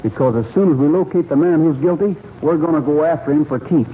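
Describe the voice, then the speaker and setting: An actor playing a confident, decisive young cowboy hero with a Western American accent in an old-time radio drama. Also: male voice, a little high, fast, loud music behind the voice, natural voice. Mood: resolute. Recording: tinny, hissy old radio recording.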